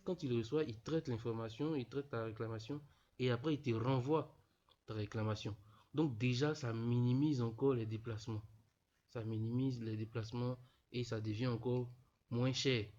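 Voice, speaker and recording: A man's voice: medium pitch, darkish tone. A young man with a cold, walking students through an online registration step by step, repeating himself often. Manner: repetitive, peppered with "voilà", addresses students informally. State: stuffy from a cold. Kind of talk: casual explanatory screen tutorial. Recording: dull lo-fi voice-over.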